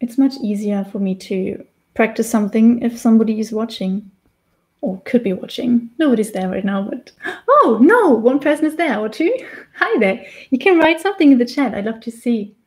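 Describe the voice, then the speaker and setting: German-accented woman in her thirties, warm and slightly high, relaxed chatty live-stream teacher. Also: moderate pace. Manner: chatty, laughs often, restarts while painting. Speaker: face painting tutor. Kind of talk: casual live-stream tutorial. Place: small indoor room with decorated wall.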